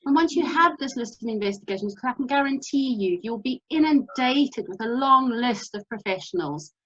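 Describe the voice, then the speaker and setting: A woman from South Africa with a South African accent, giving a brisk, practical presentation over a video call. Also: a little high, expressive, fairly bright tone, highs cut off. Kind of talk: presentation talk.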